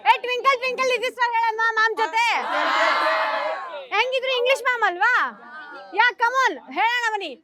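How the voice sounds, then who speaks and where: Female college event host, Kannada accent, very high voice, rallying style, loud music and cheering crowd behind. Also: steady tone, a little fast, long pauses, heavy background noise. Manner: urging, repeats short phrases to the audience. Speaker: host of a college game show. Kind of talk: lively event hosting, addressing a student crowd. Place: college event with a student audience.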